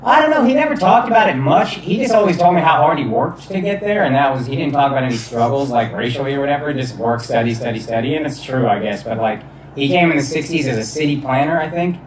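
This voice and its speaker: A man guesting on a podcast as a comedian, talking in a relaxed, casual way, swearing now and then and laughing often. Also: medium pitch, fast, roomy sound, far from the mic, low-quality recording. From United States.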